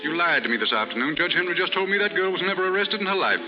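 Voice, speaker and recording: An actor in a 1930s radio drama playing an earnest upper-class gentleman novelist who can turn stern, with formal, clipped speech. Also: sits high, lively intonation, rapid-fire, over music. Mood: cold, accusing. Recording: muffled 1930s radio broadcast.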